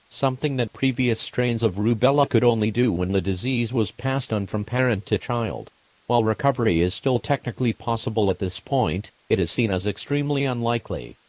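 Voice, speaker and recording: A man delivering a scripted emergency alert as an announcer, in a formal, measured and impersonal way. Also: on the low side, a little mellow, dry recording.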